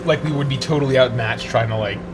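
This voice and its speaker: A man's voice: mid-range voice, fast talker. A man playing a tabletop game, explaining his actions hesitantly with lots of "like". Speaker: player. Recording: background noise.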